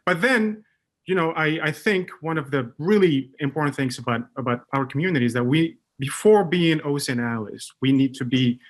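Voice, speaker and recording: A man's voice: a little high, a little fast. A man in his thirties from Morocco, speaking as a guest on a panel webcast, with many fillers and restarts. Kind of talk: casual panel chat.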